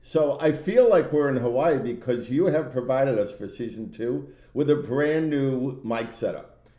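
A man co-hosting a podcast in casual, bantering chat with a loud, animated voice and a roomy, echoey sound. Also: medium pitch, unhurried. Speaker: podcast co-host. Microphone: own podcast microphone.

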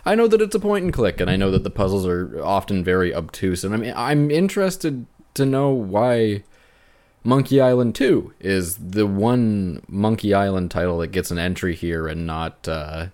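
A man speaking as a podcast co-host in casual, unscripted talk about games, feeling his way through sentences with halting phrasing. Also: low-pitched, lively intonation, a little mellow. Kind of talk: casual unscripted video game discussion.

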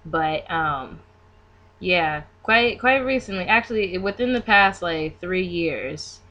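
A woman's voice: lowish voice, animated, slow, bright, forceful tone, lo-fi sound. A woman podcast guest chatting casually and informally, thinking aloud as she goes. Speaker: podcast guest, beauty business owner. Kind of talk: casual conversational chat.

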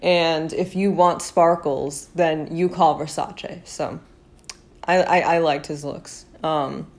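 Congested young woman, low voice. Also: steady tone, slow pace. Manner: chatty, lots of fillers, swears casually. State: congested, nasal. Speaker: podcast host. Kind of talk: casual fashion commentary.

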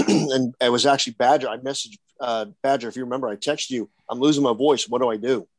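A man's voice: medium pitch, quick pace, no echo. A man who acts at haunted attractions, talking casually on a panel and rambling with many fillers and restarts.

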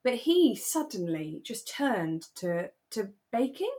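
A woman's voice: fairly high pitch, big pitch swings, slow, darkish tone. A woman co-hosting a podcast, chatting casually in an effusive, giggly manner about a radio drama. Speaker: podcast co-host.

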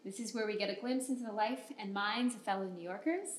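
A woman's voice: mid-range voice, fast, slight background noise. A woman hosting a podcast in a casual, informal interview style, often peppering her questions with "like". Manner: informal, frequent use of "like". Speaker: podcast host.

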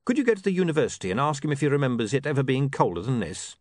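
A prim, proper young woman with a lilting, very animated voice that leaps high and low, as a male reader puts her on. She speaks precisely, in a dry, close storybook reading. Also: very fast, dry recording.

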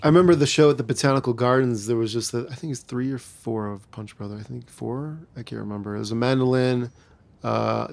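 A deep-voiced man as a podcast guest, relaxed and rambling, often trailing off mid-thought. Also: a little fast, creaky. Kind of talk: casual chat.

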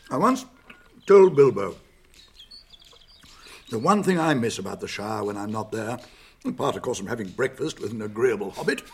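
A British actor playing a wise, kindly old wizard in a radio drama, speaking gravely and deliberately with thoughtful hums. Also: male voice, fairly low pitch, lively intonation. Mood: genial, relaxed. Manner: deliberate, pausing, murmuring hums and chuckles.

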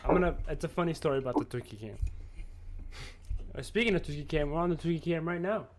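A man's voice: fairly high pitch, fast talker, slight background noise. A man co-hosting a casual podcast, rambling and swearing freely in loose chat. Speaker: podcast co-host.

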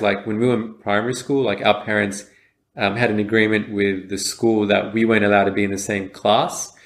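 Reflective young man, low voice. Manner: reflective, many fillers and restarts. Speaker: podcast co-host. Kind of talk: casual chat.

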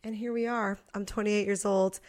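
A woman's voice: mid-range voice, level tone, normal speed, a little mellow. A woman in her late twenties co-hosting a podcast, chatting casually and laughing often. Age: 20s. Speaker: podcast co-host. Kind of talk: casual chat.

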